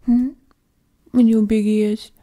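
An affectionate, playfully teasing witch girlfriend, acted by a woman for intimate ASMR. Her voice is very soft, dark and breathy, often dropping to a whisper. She coos tenderly and takes her time over each phrase. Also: a little high, flat delivery, takes it slowly. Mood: playful, doting. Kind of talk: ASMR roleplay, intimate close-up acting.

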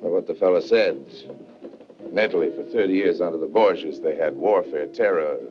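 Casting: man as a very deep-voiced, unrepentant racketeer with breezy charm, dull old film sound. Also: brisk, music in the background, close to an everyday voice. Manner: smooth, teasing, breezy. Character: charming cynical male racketeer, amused, unrepentant.

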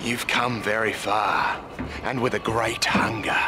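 An actor playing a sly wasteland race master in a video game, with florid, preacher-like theatrical oratory. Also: male voice, fairly low pitch, steady tone, unhurried, over music, lightly acted. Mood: grand, ceremonial. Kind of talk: theatrical game character acting.

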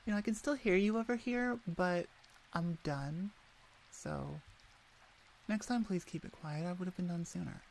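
A woman voicing a smug, teasing orc shaman in an ASMR roleplay, soft-voiced and evasive. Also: on the low side, moderate pace, gravelly, a little hiss or hum.

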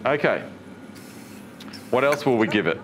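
A man's voice: medium pitch, animated, measured pace, slight background noise. A middle-aged man presenting tech news in a studio, chatty and casual, with many fillers and restarts, asking the viewer questions. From Australia. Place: studio with branded backdrop.

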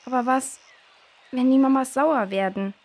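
A woman's voice: high voice, normal speed, darkish tone. A woman voicing a curious, worried young girl who thinks aloud in a children's audio drama. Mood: worried, determined.

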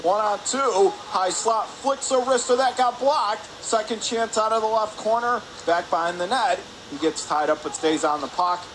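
A man giving live radio-style hockey play-by-play in clipped phrases, getting excitable on big plays. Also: high voice, moderate pace, hardly any pauses. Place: indoor ice hockey arena. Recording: clear rink noise behind. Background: arena ambience and noise.

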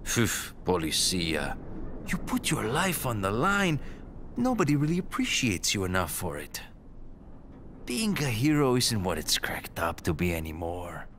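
A man's voice: on the low side, huge pitch swings, a little slow, a bit bright, slight background noise, full character voice. A man playing a cynical, flippant ex-cop and ladies' man in a video game cutscene. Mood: wry, casual. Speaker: former police officer.

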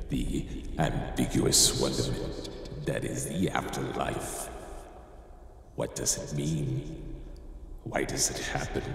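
A macabre horror host speaks extremely slowly in a hugely echoing space. The delivery is grand, ominous and theatrical, like a storyteller introducing a fright tale. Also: male voice, extremely low pitch, gravelly, heavily acted. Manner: theatrical, slow, portentous. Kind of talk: scripted horror narration. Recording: cavernous echo, long reverb, faint noise.

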